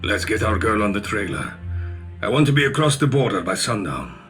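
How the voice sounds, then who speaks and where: An actor playing an authoritative Italian racing team boss with an Italian accent and a firm, paternal manner in a video game. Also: male voice, on the low side, big pitch swings, a little fast, fairly bright tone, soft background music. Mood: brisk, commanding. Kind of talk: video game cutscene acting.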